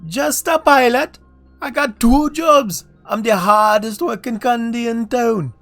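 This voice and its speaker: A man's voice: very high-pitched, a little slow, a bit bright, faint music behind, pitched above the performer's own voice, full character voice. A man voicing a boastful male candy mascot who flirts with a Jamaican accent. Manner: boastful, showy pickup lines.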